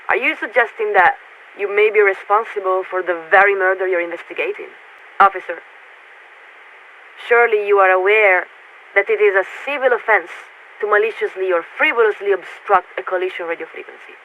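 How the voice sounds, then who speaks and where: Formal female warship radio officer for a game, clipped n bureaucratic, tinny narrow signal w some static. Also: mid-range voice. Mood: stern, incredulous. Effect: radio transmission filter. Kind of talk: scripted video game voice acting.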